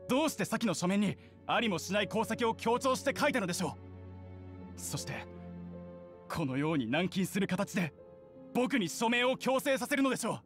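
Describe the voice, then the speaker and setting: An actor playing an earnest young male clan heir in an anime game, speaking politely, formally and deliberately. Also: high voice, very animated, soft background music. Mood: earnest, accusing. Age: young adult. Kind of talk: anime game character acting.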